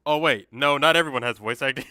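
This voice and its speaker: A man's voice: medium pitch, quick pace, sharp tone, dry recording. A man chatting casually as a streamer on a close microphone. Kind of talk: casual chat.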